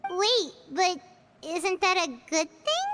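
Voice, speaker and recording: A woman voicing a tiny, excitable, curious fairy girl companion with a squeaky, childlike voice in a video game. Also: slow pace, music in the background, exaggerated voice. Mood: curious, startled. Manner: excitable, speaks of herself in third person. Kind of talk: scripted game dialogue.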